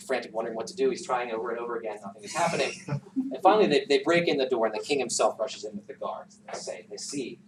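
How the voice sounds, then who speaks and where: A man telling a folktale informally to a class in an animated, conversational way with asides. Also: mid-range voice, quick pace. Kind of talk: informal oral storytelling. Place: classroom, recorded from some distance. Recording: roomy, distant classroom recording with background noise.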